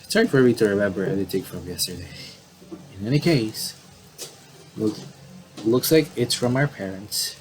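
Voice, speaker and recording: Low-voiced man commentating over noise. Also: lively intonation, a little mellow, poor audio. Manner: rambling, self-correcting, halting. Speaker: gaming video commentator. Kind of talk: casual playthrough commentary, reading game dialogue aloud.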